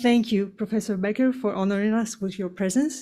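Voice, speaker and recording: A woman's voice: mid-range voice, fast talker, dark tone. A woman opening a public talk as moderator, polite and formal, with frequent ums and uhs. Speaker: event moderator. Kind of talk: formal event introduction.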